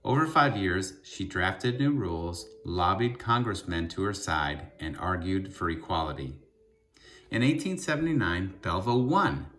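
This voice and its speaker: A man reading a children's book aloud in an expressive storytelling style, with deliberate, emphatic phrasing. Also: sits low.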